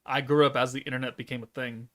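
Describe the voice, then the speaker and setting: A man in his thirties streaming a game from home, chatting casually and reading chat aloud in a monotone, deadpan way. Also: mid-range voice, quick pace. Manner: monotone, laid-back, deadpan. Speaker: gaming streamer.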